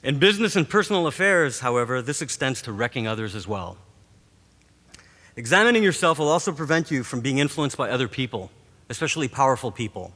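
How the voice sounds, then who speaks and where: A man's voice: mid-range voice, very animated, sharp tone. Man giving a speech in an echoing hall. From Montreal, Canada. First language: Greek. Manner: measured, rhetorical delivery. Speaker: professor giving a graduation speech. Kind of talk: formal commencement address. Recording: long reverb of a large hall.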